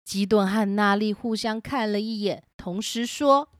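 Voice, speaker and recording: A woman tells a scripted story for children as its host and narrator. Her pitch is average for a woman, and the sound is dry and close. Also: unhurried, dry recording.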